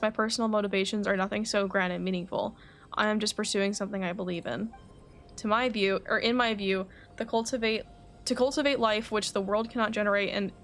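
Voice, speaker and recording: A woman reading aloud the game lines of a composed, cerebral alchemist in a formal, measured manner. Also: mid-range voice, fairly bright tone, close to an everyday voice. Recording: faint background noise.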